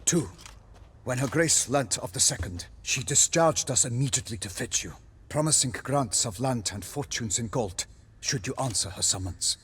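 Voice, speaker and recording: An actor playing a solemn knight errant in a fantasy game, speaking in a formal, florid, pompous manner. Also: male voice, medium pitch, expressive, moderate pace, a bit bright, a little hiss or hum, close to an everyday voice. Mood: formal, grave. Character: solemn, courtly male knight errant bearing a message. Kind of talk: cinematic fantasy game dialogue.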